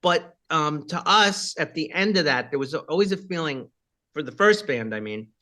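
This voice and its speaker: A rock singer with a high voice, chatting casually in an interview and rambling with many fillers and asides. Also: male voice, animated, moderate pace, bright, forceful tone.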